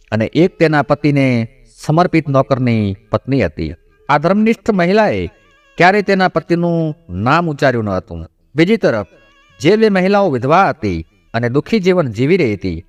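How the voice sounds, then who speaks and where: Animated, higher-voiced male storyteller. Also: no echo. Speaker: narrator of devotional moral stories. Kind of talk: scripted storytelling narration. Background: background music.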